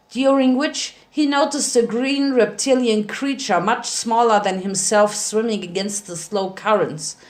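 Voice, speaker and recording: Female narrator, medium pitch, dramatic storytelling read for amateur fiction. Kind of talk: dramatic reading of fan fiction.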